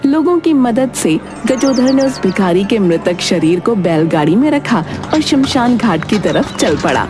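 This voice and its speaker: A woman reading scripted narration for a children's moral story in an expressive storytelling way. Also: mid-range voice, a little slow, music in the background.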